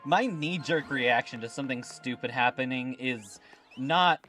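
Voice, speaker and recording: A man's voice: mid-range voice, unhurried, pushed and bright, faint music behind. A man from the American Midwest with a blended Midwestern accent, chatting casually as a co-host. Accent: mixed Midwestern US with British slang. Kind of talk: casual chat.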